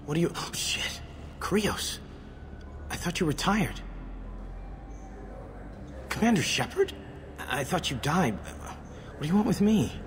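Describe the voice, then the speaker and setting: An actor playing a nervous young petty criminal in a video game, with slangy, ungrammatical street talk. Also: male voice, a little high, sing-song, takes it slowly, long pauses, a little hiss or hum. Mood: startled, defensive.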